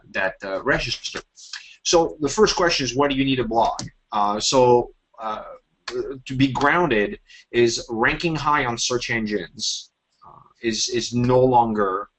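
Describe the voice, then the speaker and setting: French-accented man giving a halting webinar. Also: fairly low pitch, a bit bright, low-quality recording. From France. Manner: halting, many fillers and restarts. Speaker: webinar presenter. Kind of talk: online webinar presentation.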